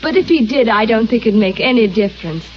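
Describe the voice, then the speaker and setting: A woman's voice: fairly high pitch, lively intonation, brisk, lightly acted. An actress playing a spirited young woman who speaks frankly and directly, on an old radio recording. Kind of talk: vintage radio acting. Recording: dull old radio recording, faint hiss.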